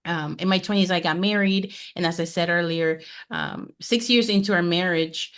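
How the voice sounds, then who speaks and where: A woman's voice: on the low side, a bit bright, clear, resonant tone. A woman in her forties, originally from Peru, speaking as a guest coach in an earnest, conversational way as she shares her faith story. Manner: earnest, goal-driven, quick storytelling. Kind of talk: conversational testimony.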